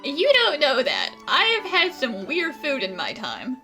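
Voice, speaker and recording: A woman's voice: high voice, huge pitch swings, unhurried, sharp tone, faint music behind. A woman doing casual game commentary as a streamer, with playful wisecracks. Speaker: gaming streamer.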